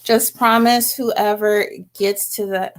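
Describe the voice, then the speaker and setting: A woman's voice: medium pitch, little pitch movement, slow. A middle-aged woman chatting casually and informally as host of a livestream, replying to viewers as she goes. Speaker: host of a home herbalism livestream. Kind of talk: casual livestream chat, reading and answering comments.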